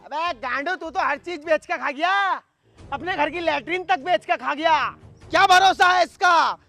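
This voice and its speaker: Man acting a sharp, very high, belligerent villager. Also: normal speed, gravelly, soft background music, full character voice. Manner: belligerent, crude, loud. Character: combative, threatening village man, rival in a feud. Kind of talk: broad comedy sketch acting.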